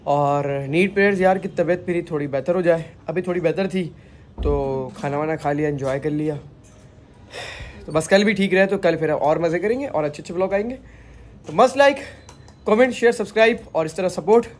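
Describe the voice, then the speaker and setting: A man's voice: a little high, brisk. A young man in his twenties vlogging his family outing, chatty and joking, with a sore throat. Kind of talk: casual family vlog narration. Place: restaurant, car and home, moving around. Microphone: handheld phone camera. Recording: phone vlog with background noise.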